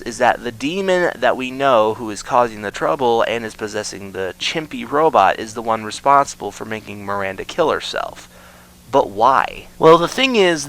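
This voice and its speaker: Male video essay narrator, average pitch, scripted storytelling delivery. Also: fairly bright tone, rough recording. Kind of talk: scripted explainer narration of a horror series.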